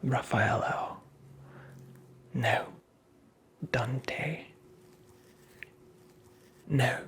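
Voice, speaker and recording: A creepy little male imp acted out by a performer whispers slowly, in a sly and taunting way. The breathy delivery is vain and condescending, drawing out phrases as in a fairy-tale ASMR roleplay. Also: mid-range voice, steady tone. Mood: sly, teasing. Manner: slow, teasing, condescending, drawn-out phrasing.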